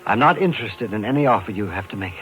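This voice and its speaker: A man's voice: fairly low pitch, expressive, fast talker, fairly bright tone, faint music behind, rough recording. An actor playing a sad, polite ventriloquist in his fifties in a 1950s radio drama. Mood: weary, evasive. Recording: old radio recording.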